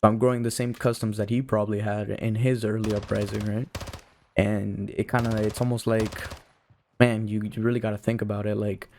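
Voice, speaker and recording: A young man in his late teens with a fairly low voice and very even pitch. He talks to his viewers in a casual, informal ramble. His sentences wander and are dotted with "you know" and "like". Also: a little fast, a little hiss or hum. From Cuba. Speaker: video creator.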